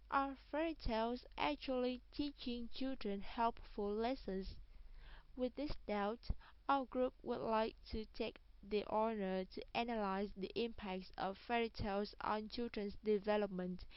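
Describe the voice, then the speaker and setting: A woman's voice: a little high, steady tone, a little slow, clear, resonant tone, no echo. A young woman giving a formal scripted student presentation. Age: young adult. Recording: dull, lo-fi audio.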